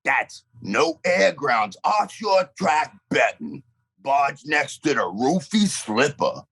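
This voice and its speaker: A woman's voice: low voice, big pitch swings, takes it slowly, creaky, fairly bright tone, breathy edge. A woman doing a theatrical, comedic radio advertisement read.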